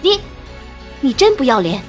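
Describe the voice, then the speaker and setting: A woman voicing a haughty, jealous young rich woman in an audiobook drama, sneering, sharp and accusing. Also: sits high, sing-song, normal speed, faint music behind. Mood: angry.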